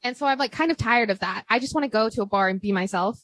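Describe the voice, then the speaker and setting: Here a young American woman carries the conversation in a fairly high, bright register and a big voice. She chats loosely over drinks, filling her sentences with "like" and laughing easily, in the relaxed manner of a podcast host. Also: quick pace. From United States. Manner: chatty, laughs often, lots of "like". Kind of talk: casual chat over drinks.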